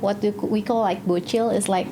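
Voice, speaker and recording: A woman's voice: medium pitch, fairly even intonation, brisk. Hesitant woman, hissy recording. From Indonesia. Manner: hesitant non-native English with many "uh" fillers and restarts. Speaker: founder of a bag brand, podcast guest. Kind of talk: interview answers.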